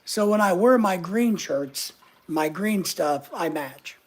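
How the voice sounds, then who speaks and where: A middle-aged man with a voice high enough that strangers take it for a woman's. He chats loosely with viewers, joking at his own expense. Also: animated, takes it slowly. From United States. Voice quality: high voice often mistaken for a woman's. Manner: chatty, joking, self-mocking. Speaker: channel host reading live chat. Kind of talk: casual livestream chat.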